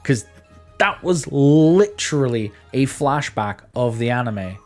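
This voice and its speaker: A man's voice: medium pitch, expressive, takes it slowly. A man giving a casual, opinionated game review in a conversational way, repeating words for emphasis. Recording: background music under voice. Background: music.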